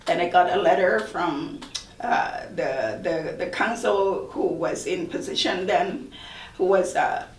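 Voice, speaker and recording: A woman from Thailand, a college professor, speaking earnestly and reflectively in a panel discussion, often restarting her sentences. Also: mid-range voice. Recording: roomy echo, far from mic.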